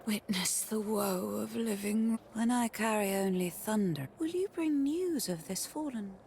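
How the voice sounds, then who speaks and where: An actress playing a sorrowful, cryptic woman in dark fantasy, with measured, archaic, theatrical phrasing. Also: medium pitch, expressive, creaky, a little hiss or hum. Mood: cryptic, mournful. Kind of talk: video game cutscene drama acting.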